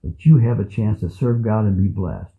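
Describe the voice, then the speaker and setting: An actor playing a kindly, steadfast old priest in a scripted Bible audio drama, speaking in a measured, earnest way. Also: male voice, lowish voice, creaky, narrow, tinny audio. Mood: gentle, instructive. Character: kindly, steadfast old male priest guiding a child king.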